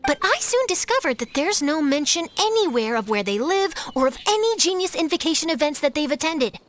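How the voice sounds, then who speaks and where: A woman's voice: sits high, very animated, brisk. A woman playing an eager, inquisitive young female journalist in a video game, with a perky, articulate, girlish delivery. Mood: pensive. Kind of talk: animated video game voice acting.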